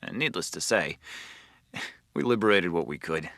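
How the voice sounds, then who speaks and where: A man's voice: sits low, animated, measured pace, bright, forceful tone, dry recording, clean studio sound, heavily acted. A man playing an irritable GI in a war game, snappish and complaining. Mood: wry. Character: griping, irritable American GI, male. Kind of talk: video game unit voice lines.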